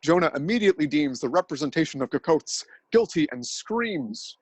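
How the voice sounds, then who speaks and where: Deadpan man narrating a dramatic reading. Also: fairly high pitch, normal speed, slightly rough, no room sound. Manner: earnest, deadpan telling of absurd events.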